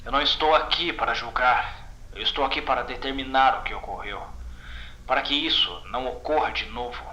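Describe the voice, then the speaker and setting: A formal, detached investigator voiced by a man speaks with clipped control. The Brazilian-accented voice is sharp and forceful, with a roomy echo suited to a horror audio drama. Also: mid-range voice, brisk, lightly acted. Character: detached, formal male investigator debriefing an agent.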